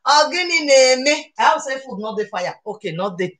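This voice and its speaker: A woman's voice: sits high, expressive, normal speed, a bit bright. A woman with a Nigerian accent hosting a casual cooking live stream from her home kitchen, chatting playfully and exuberantly and often repeating phrases. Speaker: cooking show host. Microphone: webcam or phone camera at arm's length to a few steps away.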